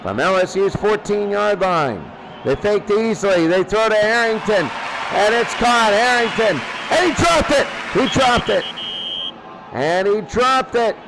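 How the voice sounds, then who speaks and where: High-pitched man calling football over heavy noise. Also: expressive, measured pace, crowd noise. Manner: repeats phrases, clipped present-tense calls. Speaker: play-by-play football announcer. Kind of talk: live radio sports commentary.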